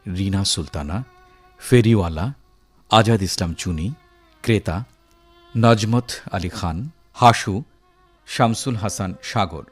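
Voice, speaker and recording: Male radio announcer, low voice, formal credits reading, faint music behind. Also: slow pace, pauses often.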